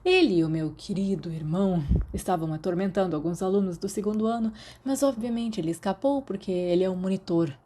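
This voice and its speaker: A woman voicing a teasing, exasperated teenage boy in animated audiobook dialogue. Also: mid-range voice, a little fast, hardly any pauses, slight background noise, lightly acted. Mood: brooding.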